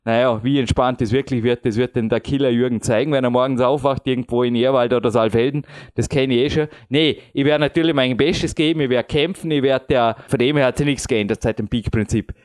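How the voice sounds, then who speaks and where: A man's voice: medium pitch, fairly even intonation, a little fast, darkish tone. A man in his late thirties talking at length in a casual chat, rambling and self-deprecating with frequent chuckles. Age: 30s. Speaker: podcast host and competitive climber.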